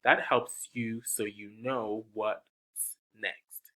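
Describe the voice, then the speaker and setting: Man, children's story reader, lively up-and-down pitch, bright voice, unhurried. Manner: slow, sing-song, coaxing questions to young listeners. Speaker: teacher reading aloud. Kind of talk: children's picture book read-aloud.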